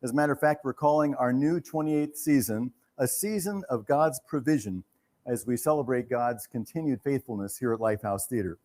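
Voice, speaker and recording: A man's voice: mid-range voice, a little fast, darkish tone. A man speaking earnestly and reverently as host of a live theater event, giving a welcome speech and prayer.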